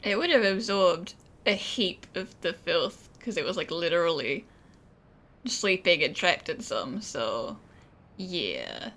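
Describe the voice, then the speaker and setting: Bright, animated woman doing gaming commentary. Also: on the low side, slow pace. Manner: chatty, informal, wry. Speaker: gaming streamer. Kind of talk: casual game playthrough commentary. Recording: faint game music behind.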